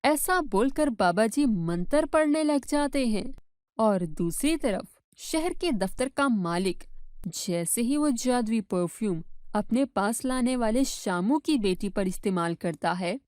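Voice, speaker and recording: A woman's voice: a little high, expressive, slow pace, a little mellow, no room sound, clean studio sound. A woman reading scripted storybook narration as the narrator.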